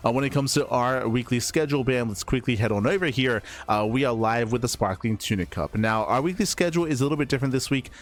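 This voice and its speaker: A man's voice: mid-range voice, fast, few pauses. A man casting esports, winding down a stream in a chatty, rambling way, his voice still recovering after he lost it. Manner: chatty, rambling with fillers and restarts. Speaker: esports caster. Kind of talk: casual stream sign-off.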